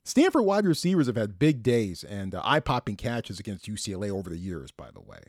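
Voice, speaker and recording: A man's voice: fairly low pitch, big pitch swings, a little fast, dry recording, clean studio sound. A middle-aged man hosting a sports podcast, telling game stories with enthusiastic, emphatic, conversational commentary.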